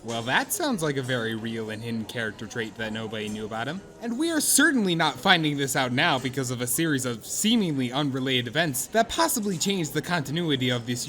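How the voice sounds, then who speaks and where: A man playing an earnest, excitable narrator of a comic fan drama, theatrical and mock-solemn in his delivery. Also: medium pitch, brisk, hardly any pauses. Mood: wry, musing. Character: earnest, excitable male narrator of an awkward would-be friend. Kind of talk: dramatic second-person scripted narration with comic profanity. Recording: music under the voice. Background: background music.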